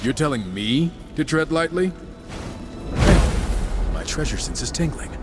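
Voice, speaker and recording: A roguish, irreverent gunslinger in a game cutscene. His delivery is animated and sarcastic, casually needling, with music playing behind. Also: a little high, a little slow. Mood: wry, teasing. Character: wisecracking, irreverent gunslinger horseman, cynical and roguish. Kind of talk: video game cutscene acting.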